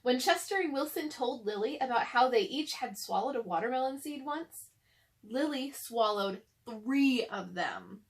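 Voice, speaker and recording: A woman reads a children's storybook aloud as narrator. Her voice is fairly high, and she delivers it as read-aloud narration for young listeners. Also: animated, unhurried. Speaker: storybook reader.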